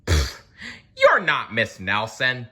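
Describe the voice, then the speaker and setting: A reader plays a formal, fussy school principal, a man from a storybook. He sounds self-assured, with forceful tone and lively pitch. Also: takes it slowly, heavily acted. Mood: dismissive.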